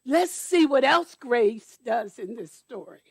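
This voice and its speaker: A woman storyteller reading aloud to children at church, deliberately, with explanatory asides. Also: a little high, expressive, vocal fry, breathy edge, no room sound, clean studio sound. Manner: deliberate, explains details to young listeners.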